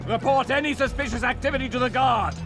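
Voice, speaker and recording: An actor playing a paranoid, tyrannical knight commander in a video game, with an accusing, theatrical delivery. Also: male voice, extremely high pitch, fast, music in the background. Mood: furious, grandiose. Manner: accusing, theatrical, swinging from menace to shouting. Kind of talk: dramatic video game acting.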